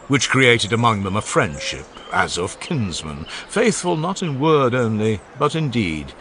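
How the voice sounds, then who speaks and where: A man's voice: medium pitch, a bit bright, a little hiss or hum. A man reading an ancient funeral oration aloud as an audiobook narrator, with solemn, declamatory delivery and strong swings in emphasis.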